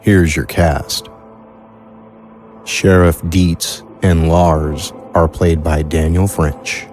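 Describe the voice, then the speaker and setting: A man reading a scripted audio drama outro in a deliberate, solemn way. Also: low-pitched, little pitch movement, darkish tone, faint music behind. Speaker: audio drama creator, announcer.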